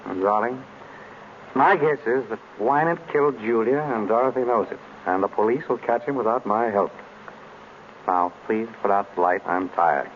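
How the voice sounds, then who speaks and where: An actor playing a reluctant, unflappable former detective, dry and teasing, in an old radio drama. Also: male voice, lowish voice, moderate pace, natural voice. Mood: sleepy, wry. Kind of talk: 1930s radio drama acting. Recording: dull, noisy old radio recording.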